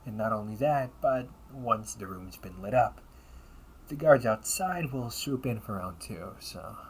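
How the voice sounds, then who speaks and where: A man playing a wry, politely smug demon-hunting officer in an audio roleplay, speaking in a courteous, measured, teasing way with breathy asides. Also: lowish voice, expressive, slight background noise. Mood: cautioning, calm. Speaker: amateur voice actor. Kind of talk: audio roleplay, one-sided dialogue addressed to the listener.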